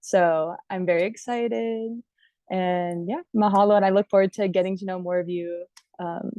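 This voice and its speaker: A woman's voice: mid-range voice, fairly even intonation, normal speed, warm tone, no room sound, muffled recording. A woman from Hawaii chatting casually on a video call, using lots of filler words and laughing now and then. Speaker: course participant.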